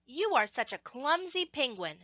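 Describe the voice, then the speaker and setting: A woman voicing a teasing penguin in a children's storybook, calling out loudly in exclamations. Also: sits high, very animated, normal speed, dry recording, highs cut off, voice pushed up, exaggerated voice. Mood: teasing, scolding. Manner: loud, exclaiming call-outs.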